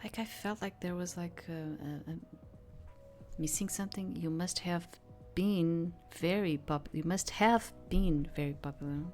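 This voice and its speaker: Low-pitched woman doing game commentary. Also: a little slow, darkish tone. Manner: rambling asides, improvised. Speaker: video game streamer. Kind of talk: let's play commentary, reading game text aloud. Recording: game music behind the voice.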